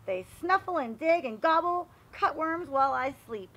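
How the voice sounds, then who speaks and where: A woman reading a picture book aloud to young children, animated and expressive, pausing to explain and ask questions. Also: high-pitched. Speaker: read-aloud storyteller for children.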